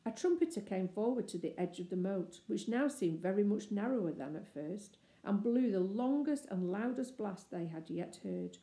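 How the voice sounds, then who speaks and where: A woman narrates a children's story in a measured, expressive way. Her tone is dark and mellow at an average pitch, recorded in a noticeably echoey room. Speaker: storybook reader.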